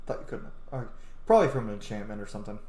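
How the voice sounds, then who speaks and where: A man's voice: fairly low pitch, lively intonation, very fast, creaky, a little mellow, roomy sound. A man giving casual, rambling, opinionated gameplay commentary as a streamer, swearing freely. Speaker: streamer playing a video game.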